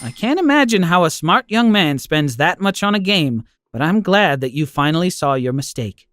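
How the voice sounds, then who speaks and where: A man voicing a kind, forgiving elderly grandmother who speaks gently. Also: fairly high pitch, big pitch swings, normal speed. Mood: gentle. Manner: gentle, soothing.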